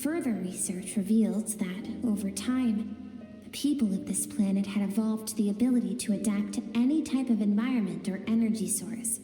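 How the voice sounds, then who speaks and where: An actress voicing the gentle, suppressed inner self of a swordswoman in a video game, speaking softly and sorrowfully. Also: medium pitch, moderate pace, lightly acted. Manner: soft, sorrowful, pleading. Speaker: game character. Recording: roomy reverb under game music.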